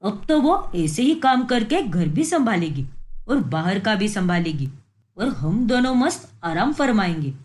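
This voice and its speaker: A woman's voice: medium pitch, big pitch swings, a little slow, darkish tone. A woman voicing a deceitful, self-pitying young husband in a cartoon moral story, with a dramatic, whiny delivery. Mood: sly, smug.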